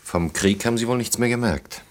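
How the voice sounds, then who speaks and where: An actor playing a reserved, awkward middle-aged department head in a naturalistic radio play, halting and dryly formal. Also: male voice, medium pitch, close to an everyday voice. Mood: reserved, defensive. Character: reserved, awkward male department head courting a young woman.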